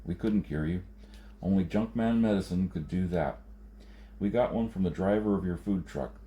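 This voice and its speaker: A man's voice: low-pitched, mellow, echoey room, natural voice. Man reading as a grave, blunt outlander leader. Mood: grim, blunt. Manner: slow, intent delivery.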